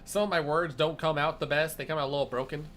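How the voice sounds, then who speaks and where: A man's voice: fairly high pitch, steady tone, fairly bright tone, soft background music. A young man chatting casually as a streamer, playful and jokey, who grew up speaking Spanish. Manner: playful, jokey, laughs a lot, quick bursts. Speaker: streamer host. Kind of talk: casual chat.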